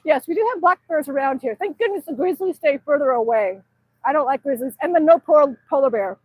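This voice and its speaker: A woman's voice: sits high, expressive, a little fast, darkish tone, no room sound, poor audio. A middle-aged woman from Alaska, chatting casually on a livestream outdoors in the snow and reading out viewer comments. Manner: chatty, reads out chat messages and answers them. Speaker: livestream host.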